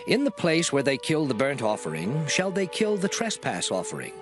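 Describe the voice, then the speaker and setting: A man giving a solemn, declarative, measured dramatized reading of old scripture. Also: medium pitch. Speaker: scripture reader. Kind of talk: dramatized King James Bible reading, scripted. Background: music.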